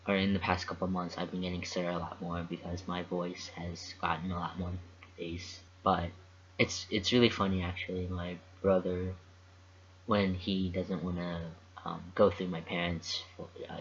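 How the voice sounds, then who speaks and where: A young man with a deep, low voice talks through his thoughts in a calm, measured vlog monologue, pausing often as he reflects. The recording is dull and lo-fi, with a faint hiss underneath. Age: young adult. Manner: thoughtful, measured, with frequent pauses. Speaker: vlogger.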